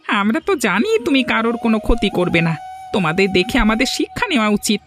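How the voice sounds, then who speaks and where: A woman's voice: a little high, big pitch swings, fast talker, music in the background. A woman voicing an earnest, reassuring young villager in a cartoon village drama.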